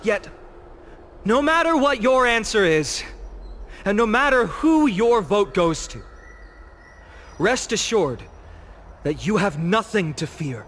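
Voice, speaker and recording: An actor playing an eloquent young guardian demigod, giving a solemn, theatrical speech in a video game. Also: male voice, high voice, animated, fairly bright tone, slight background noise, heavily acted. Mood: solemn vow. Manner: eloquent, solemn, deliberate rhetorical delivery. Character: impassioned young male orator, guardian demigod of a holy city. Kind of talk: dramatic theatrical oration in video game voice acting.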